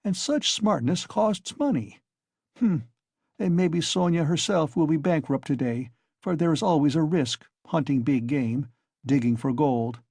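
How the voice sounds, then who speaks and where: A man's voice: fairly high pitch, unhurried, creaky, a little mellow, no room sound, clean studio sound. A man voicing a brooding young man who mutters sardonic, malignant thoughts to himself. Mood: bitter.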